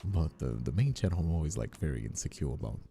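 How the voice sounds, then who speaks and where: A man whose first language is Spanish chats casually as a voice actor and creator, halting and often repeating himself. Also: a bass voice, big pitch swings, gravelly, dark tone. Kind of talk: casual chat. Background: music.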